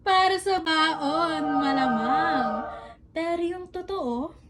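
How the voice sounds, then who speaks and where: A young Filipino woman with a high voice narrates a comedic radio drama. She is chatty and teasing, speaking expressively as if gossiping with classmates. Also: slow, heavy background noise. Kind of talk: playful scripted narration.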